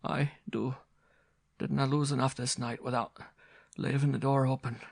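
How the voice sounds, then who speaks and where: A man's voice: medium pitch, little pitch movement, moderate pace, creaky, a little mellow, voice pushed up. A man reading aloud voices a frantic older Irish peasant widow, wailing and pleading in an Irish accent. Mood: grieving, pleading.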